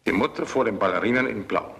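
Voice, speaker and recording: A curt German major. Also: male voice, lowish voice, fast, creaky, roomy sound. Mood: cold. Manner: curt, authoritative. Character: German army major, cold and commanding. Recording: hissy film soundtrack.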